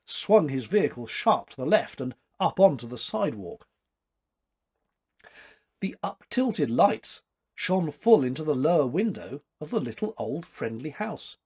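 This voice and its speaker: Husky middle-aged man reading a story. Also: on the high side, darkish tone. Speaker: story reader. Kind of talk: expressive literary story narration. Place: small domestic room indoors.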